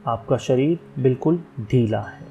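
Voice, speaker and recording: A man leading a guided relaxation meditation as an instructor, giving calm, unhurried instructions with long pauses. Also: mid-range voice, lo-fi sound. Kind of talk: guided yoga nidra meditation, slow soothing instructions. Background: meditation music.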